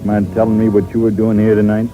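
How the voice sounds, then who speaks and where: An actor playing a steady, folksy, plain-spoken small-town sheriff in an old-time radio drama. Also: male voice, lowish voice, quick pace, music in the background, lightly acted. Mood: matter-of-fact. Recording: dull old radio recording, loud background noise.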